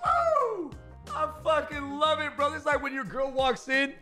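An excitable young man talks in casual slang on a stream. His voice is very high and lively, with swearing thrown in, recorded close up over faint music. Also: unhurried. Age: young adult. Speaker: streamer reacting to football clips. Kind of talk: casual live-stream reaction. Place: small indoor streaming room. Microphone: close microphone on boom arm, with headset.

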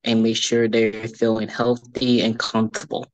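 A man's voice: lowish voice, completely flat delivery, normal speed, no echo, rough recording. A man in his early twenties giving casual, hesitant answers as a guest on a video call. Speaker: dating show guest. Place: room at home, video call.

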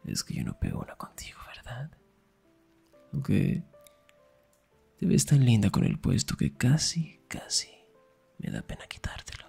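A young man performing an intimate ASMR roleplay as a teasing, affectionate best friend, murmuring in a breathy, husky whisper. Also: medium pitch, wildly swooping pitch, measured pace, pauses often, darkish tone, soft background music.

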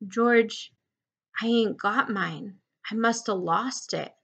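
A woman voicing a big, childlike drifter man from a novel, timid and eager to please, in simple halting rustic speech. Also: fairly high pitch, lively intonation, pushed and bright, highs cut off, heavily acted. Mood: anxious. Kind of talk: audiobook-style character reading of a novel.

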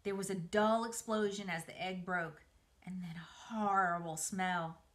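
A middle-aged woman reading a children's story aloud indoors, in an expressive, dramatized way. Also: on the low side, unhurried. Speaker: museum educator reading aloud. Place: small room by a window, indoors.

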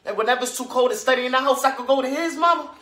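Man playing an eager, needy schoolboy, high-pitched. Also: fairly even intonation, takes it slowly, bright, forceful tone, echoey room, recorded from a distance, exaggerated voice. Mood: eager, pleading. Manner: eager, pleading, repeatedly calling for mama. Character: needy young schoolboy calling to his mama. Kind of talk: theatrical monologue acting.

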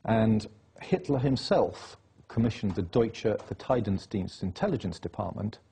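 A man's voice: fairly low pitch, lively intonation, normal speed, vocal fry, warm tone, husky. A man being interviewed, laying out his case insistently and reeling off dates and facts. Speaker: interview guest. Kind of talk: political interview.

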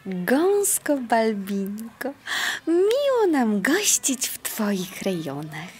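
A woman's voice: on the high side, wildly swooping pitch, very slow, darkish tone, slightly rough, full character voice. A woman voicing a small, fussy but polite female sprite in a children's audio drama. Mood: pleasant.

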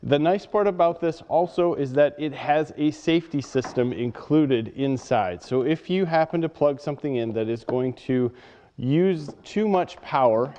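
A man's voice: mid-range voice, mellow, a little hiss or hum. A man giving a plain, matter-of-fact hands-on product demonstration at a workbench in a workshop. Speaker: product reviewer. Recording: dull sound with long reverb.

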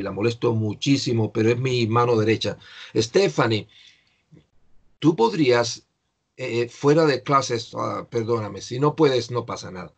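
An older man teaching English in an online class, patiently explaining pronunciation to a student over a video call. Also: mid-range voice.

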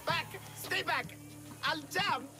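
A man voicing a hapless, cowardly villager who poses as a monster slayer, theatrical, bumbling and excitable, in a video game. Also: very high voice, takes it slowly, over music. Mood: desperate, panicked. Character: hapless, melodramatic, cowardly male villager posing as Minotaur slayer. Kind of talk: video game character acting.

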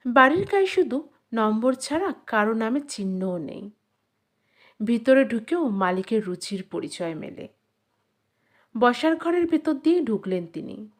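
A woman narrates a scripted story in a somewhat high voice. Her reading is very unhurried and measured, with lively rises and falls that give the literary prose dramatic expression. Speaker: audiobook narrator.

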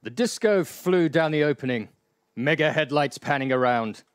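A man's voice: on the high side, expressive, normal speed, clear, resonant tone, no echo. A man narrating a comic radio play with wry asides.

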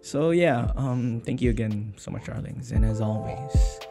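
A man's voice: mid-range voice, a little slow, vocal fry, over music. A man hosting his audio channel, chatting informally and a little hesitantly to his listeners. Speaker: audio roleplay creator, host. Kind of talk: casual chat to listeners.

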